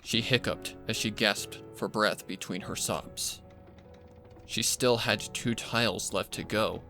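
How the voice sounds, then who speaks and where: A man reading a dark horror story as a dramatic scripted narration. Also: lowish voice, fairly even intonation, takes it slowly, creaky, faint music behind. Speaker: fan fiction narrator.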